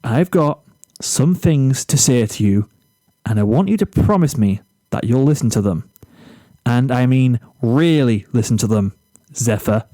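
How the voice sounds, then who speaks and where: A man reading a storybook character, an exasperated, blunt teenage boy who speaks firmly and deliberately. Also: mid-range voice, lively intonation, dark tone, no echo, lightly acted, poor audio. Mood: serious, restrained. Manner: firm, emphatic, deliberate.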